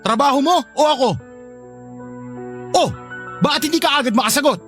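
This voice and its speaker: A man's voice: very high voice, moderate pace, sharp tone, faint music behind. A man with a Filipino accent acting as a hurt, insistent young boyfriend in a radio drama, earnest and pleading. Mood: pleading, wounded. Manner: earnest, pleading, emotionally pressing.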